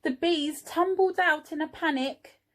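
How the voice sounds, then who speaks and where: A woman reading a picture book aloud to young children, expressively, pausing for little asides to the listeners. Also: high voice, a bit bright. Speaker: early years teaching assistant reading a storybook.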